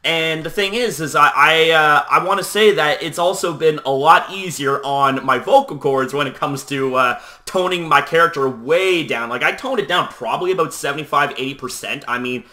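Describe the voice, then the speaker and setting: Chatty young man, bright, toned-down voice. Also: on the high side. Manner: loose and chatty, heavy on "you know"; talking at a deliberately toned-down volume. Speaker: YouTube host. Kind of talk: casual talk to camera.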